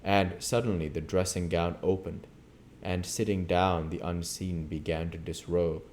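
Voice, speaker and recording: A man's voice: low-pitched, fairly even intonation, unhurried, a little mellow, a little hiss or hum. A man reading a storybook aloud as narrator. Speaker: audiobook reader.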